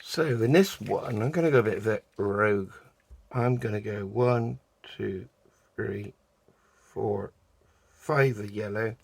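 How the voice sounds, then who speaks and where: A man from the UK with a croaky voice, chatting casually as he explains a resin craft project step by step. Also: on the low side, no echo. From United Kingdom. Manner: unhurried, chatty, talks while doing hands-on craft work. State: croaky voice, clearing throat. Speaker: resin art vlogger. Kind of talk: casual how-to narration while working.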